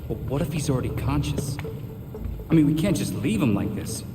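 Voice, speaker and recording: A man's voice: medium pitch, lively intonation, fairly quick, noisy background, close to an everyday voice. An American man playing a confident, easygoing vigilante team leader in a video game, with a teasing manner. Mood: teasing, concerned. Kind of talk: video game cutscene acting. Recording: distant in echoey hall, loud score.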